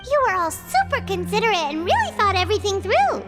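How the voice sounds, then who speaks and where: Tiny childlike fairy sidekick, extremely high squeaky voice, swooping intonation, excitable, video game acting, loud music behind. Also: female voice, brisk. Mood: curious. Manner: speaks of herself in third person, exclamatory. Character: tiny childlike floating fairy companion, excitable, food-loving.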